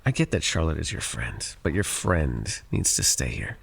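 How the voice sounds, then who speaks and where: A man playing a gruff, teasing, easily exasperated guide for hire in an ASMR audio roleplay, speaking straight to the listener in a dry, folksy way. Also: big pitch swings, normal speed, fairly bright tone, slight background noise, exaggerated voice. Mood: stern, grudging. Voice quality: low, gravelly, husky. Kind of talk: ASMR audio roleplay, one-sided dialogue addressed to the listener.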